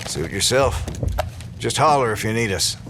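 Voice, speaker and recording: Low-voiced, folksy small-town sheriff. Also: male voice, moderate pace, noisy background. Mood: firm, hopeful. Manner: calm, folksy, earnest. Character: steady, reassuring small-town sheriff, a grown man. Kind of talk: audio drama acting. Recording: loud music behind the voice.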